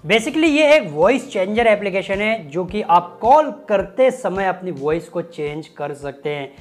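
A man's voice: sits high, big pitch swings, unhurried. Chatty young man reviewing apps. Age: 20s. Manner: chatty, joking, colloquial asides. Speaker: YouTube tech host. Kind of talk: casual app review to camera. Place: small home studio room with desk. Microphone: lapel mic.

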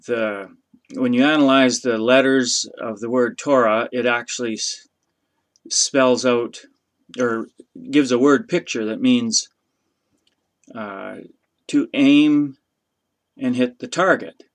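A man in his fifties giving an informal, unscripted religious teaching, speaking haltingly and thoughtfully as he searches for words, recorded in a small room. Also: mid-range voice. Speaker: host of a religious teaching video.

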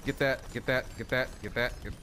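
A man's voice: mid-range voice, fairly even intonation, a little fast. A man playing an online shooter with friends, cursing and calling out plays in casual chat. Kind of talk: casual profane game chat.

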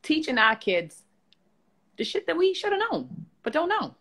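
Man playing a sassy young puppet lady with a New York accent, high bright voice that swings all over, loud and slangy. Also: very animated, normal speed, creaky, exaggerated voice. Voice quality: loud, profane, slangy, bubbly. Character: sassy young woman puppet news host, brash. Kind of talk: comedic puppet news chat.

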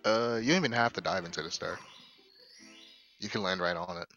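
A man with a deep voice giving casual, laid-back commentary on a video game speedrun race. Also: brisk, lo-fi sound, music in the background. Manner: laid-back, laughs often.

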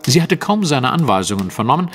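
A self-assured Victorian master detective in a radio play. His delivery is lively and precise, with great authority. Long reverb surrounds the voice and music plays behind it. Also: mid-range voice, brisk. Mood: explanatory. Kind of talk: German audio drama acting.

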